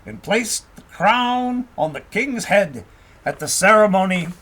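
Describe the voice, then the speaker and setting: A man reads a historical tale in an expressive storybook manner. His voice sits a little high and moves widely up and down, with a husky edge to it. He sounds like a narrator guiding listeners through old legends. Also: unhurried. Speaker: audiobook narrator and host.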